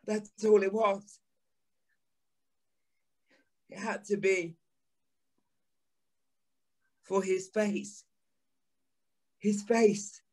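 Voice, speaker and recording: A man playing a troubled, earnest gentleman in an audio play, speaking in a deliberate, dramatic manner. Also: high-pitched, fairly even intonation, exaggerated voice. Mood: shaken, haunted. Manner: deliberate, dramatic, halting repetitions. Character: troubled gentleman narrator, earnest, haunted by a nightmare.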